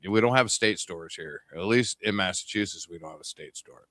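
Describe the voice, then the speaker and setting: This is a man with an upstate New York accent talking casually on a ham radio show. His voice sits fairly low, and he rambles along, stopping and restarting often. The sound is dry, with no room around it. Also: brisk, fairly bright tone, dry recording. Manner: rambling, with many ums and restarts. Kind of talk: casual chat.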